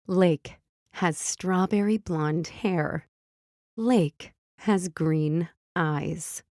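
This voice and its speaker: A woman hosting a children's read-aloud, narrating and teaching early reading in simple, deliberate wording. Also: fairly low pitch, a little mellow, dry recording, studio quality. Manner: simple, deliberate wording, instructing young readers. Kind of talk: slow phonics storybook read-aloud for young children.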